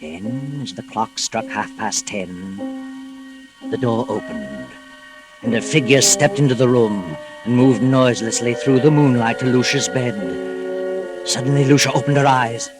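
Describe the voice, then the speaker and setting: An actor playing a grave, suspenseful storyteller, narrating a 1940s radio mystery in a deliberate, dramatic manner. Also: male voice, mid-range voice, big pitch swings, poor audio. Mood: hushed suspense. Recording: vintage 1940s radio recording. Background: music.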